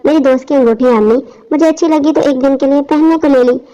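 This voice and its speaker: A woman's voice: high voice, fast, mellow, close to an everyday voice. A woman narrator voicing a scheming young college girl in a story, coaxing and greedy. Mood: defiant. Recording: dull lo-fi audio.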